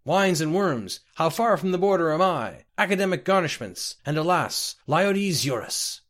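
A man's voice: expressive, moderate pace, fairly bright tone. A man voicing a manic little mimic creature in a storybook reading, exclaiming nonsense in an odd, tremulous voice. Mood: chattering, frantic. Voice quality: booming, oddly accented, tremulous. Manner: exclaims disjointed nonsense phrases.

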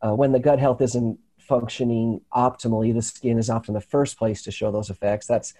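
Slightly raspy man hosting a remote show. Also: medium pitch, little pitch movement, dark tone. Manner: earnest, halting, many restarts and fillers. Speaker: host. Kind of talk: casual health talk show chat. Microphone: remote video call.

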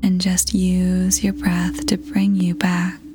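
A woman leading a scripted guided meditation in a soft, breathy, whispery voice, soothing and gentle, with long pauses. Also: on the low side, completely flat delivery. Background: music.

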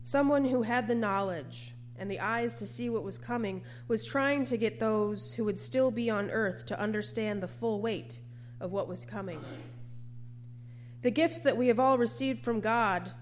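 A woman preacher delivering a sermon in a measured, reflective, plain-spoken way. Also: a little high, slight background noise.

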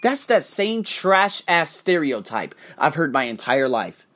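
A man hosting a podcast, delivering an emphatic, profane monologue to camera with sudden rises in pitch and frequent restarts. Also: sing-song, unhurried, a bit bright. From United States. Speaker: podcast host.

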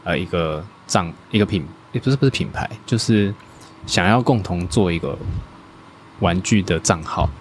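Deep-voiced hesitant male guest. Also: normal speed, a little hiss or hum. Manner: hesitant, many fillers and restarts. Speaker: guest toy designer. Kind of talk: casual interview answers.

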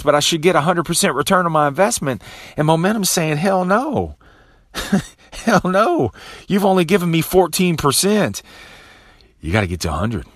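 A man in his late thirties hosting a motivational podcast, talking casually and profanely and tossing in "man" and "bro", with animated bursts and low, quiet asides. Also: dry recording, studio quality. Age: 30s. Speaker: podcast host. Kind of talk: motivational monologue.

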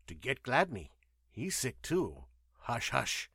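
A man voicing a gruff, sly winged alien pilot who speaks in terse, broken English bursts for an audio story. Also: medium pitch, huge pitch swings, slow pace, fairly bright tone, dry recording, studio quality, full character voice. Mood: urgent, brusque.

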